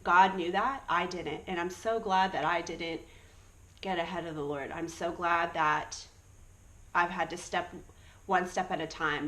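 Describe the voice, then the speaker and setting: A woman's voice: on the low side, fairly bright tone. A woman in her early thirties sharing her personal faith testimony in an earnest, encouraging way. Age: 30s. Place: Australia.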